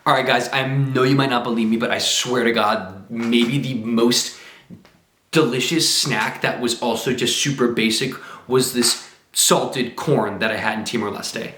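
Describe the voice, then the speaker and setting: A man's voice: mid-range voice, fairly even intonation, a little slow, a bit bright, slight background noise. A man talking casually to camera in a travel vlog, chatty and enthusiastic with lots of exclamations. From United States. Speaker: travel vlogger. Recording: roomy echo.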